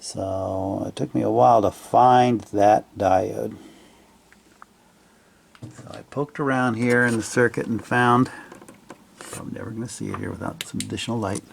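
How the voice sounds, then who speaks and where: A man with a deep-leaning, gravelly voice talks through a hands-on repair in a casual, unscripted way. He speaks haltingly, thinking out loud and often letting phrases trail off. There is plenty of vocal fry in his delivery. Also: steady tone, a little hiss or hum. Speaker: hobbyist repairer presenting his own fix.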